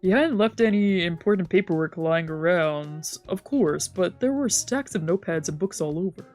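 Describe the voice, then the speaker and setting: A woman streamer reading a visual novel aloud with steady narration. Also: lowish voice, normal speed, faint music behind.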